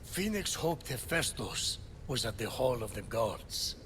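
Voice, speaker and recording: A man's voice: medium pitch, big pitch swings, a little slow, background noise. A man playing a solemn storyteller narrating an epic myth in a video game. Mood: solemn, ominous. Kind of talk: scripted dramatic game narration. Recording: loud game music underneath.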